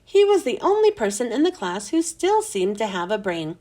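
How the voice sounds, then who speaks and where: Woman reading a kids book out loud, high voice, super animated narration. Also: normal speed. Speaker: children's read-aloud host. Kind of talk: expressive storybook narration.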